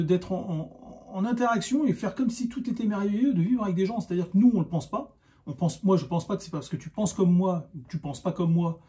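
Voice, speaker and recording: A man giving an unscripted, argumentative opinion monologue, insistent and halting, often repeating himself. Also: high voice, warm tone. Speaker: online video commentator.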